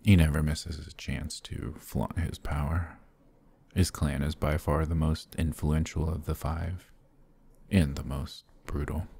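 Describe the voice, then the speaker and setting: Man playing a courtly vampire lord, extremely low pitch, dark mellow tone, formal, measured, ASMR fantasy roleplay. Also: full character voice. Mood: grave. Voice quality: husky, low. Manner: formal, measured, soft-spoken. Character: courtly, gentle, possessive male vampire lord.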